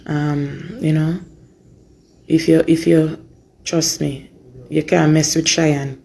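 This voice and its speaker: Hoarse Jamaican woman, slow and creaky. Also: low-pitched, flat delivery, dark tone. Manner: earnest, repeats phrases for emphasis. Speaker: mother giving an update on her daughter. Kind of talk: informal spoken update to supporters.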